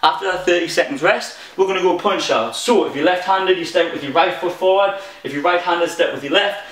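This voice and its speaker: Geordie fitness coach in an echoey room. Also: male voice, sits high, recorded from a distance. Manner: talks fast when excited, restarts sentences. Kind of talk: home workout instruction. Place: front room of a house.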